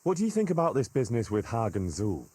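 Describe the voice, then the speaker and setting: Earnest young soldier, lively intonation. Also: male voice, medium pitch, fast, husky, dry recording. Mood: inquisitive. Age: young adult. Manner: polite, questioning, plain-spoken. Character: earnest, inquisitive, respectful young man-at-arms. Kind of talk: dramatic video game cutscene dialogue.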